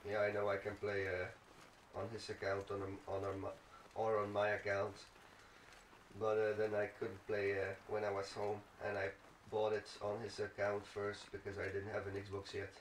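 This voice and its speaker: Roomy distant mic, low-pitched man, casual let's play commentary, thinking aloud. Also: completely flat delivery, normal speed, slight background noise.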